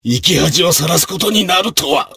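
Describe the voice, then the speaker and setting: An actor voicing a brave, martial samurai officer in a video game, with gruff, raspy, declamatory delivery in archaic period speech. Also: male voice, high-pitched, expressive, moderate pace, heavily acted. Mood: grave. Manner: forceful, declamatory.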